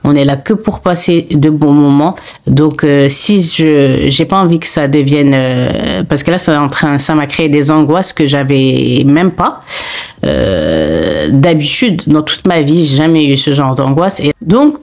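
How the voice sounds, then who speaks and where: A woman's voice: low voice, animated, unhurried, darkish tone. A woman hosting a horror story channel, talking straight to her viewers in an earnest, hesitant way, full of fillers and restarts, and firm when she asks them to stop. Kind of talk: casual direct-to-camera monologue.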